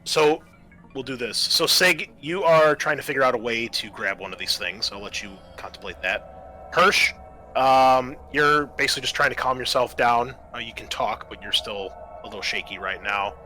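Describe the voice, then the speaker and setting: A man's voice: medium pitch, moderate pace, sharp tone, soft background music. A man acting as game master, improvising the narration of a tabletop game.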